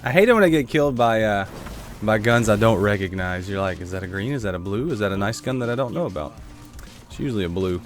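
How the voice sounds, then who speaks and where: Congested man, lively gameplay commentary. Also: lowish voice, fast, faint music behind. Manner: chatty, reacts aloud to the game, answers chat. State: congested throat, keeps clearing it. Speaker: gaming YouTuber.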